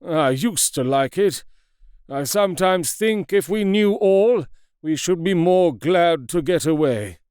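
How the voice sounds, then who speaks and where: Male narrator voicing aged doctor, doomed and shaken, strained pleading tone, lively pitch. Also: slow pace, clear, resonant tone, no room sound, studio quality. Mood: weary despair. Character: dying elderly male doctor, shaken, despairing.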